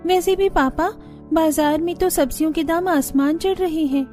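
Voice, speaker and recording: A woman's voice: very high voice, moderate pace, mellow, faint music behind. A woman voicing a hardworking, feisty young daughter-in-law in a village cartoon. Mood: earnest.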